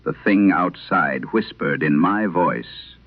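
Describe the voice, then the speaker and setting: A man performing as a late-night radio host, solemnly reading an eerie letter in measured, deliberate phrases through an old radio filter, in a vintage horror drama style. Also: sits low, expressive, exaggerated voice. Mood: ominous, tense. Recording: tinny band-limited old radio sound.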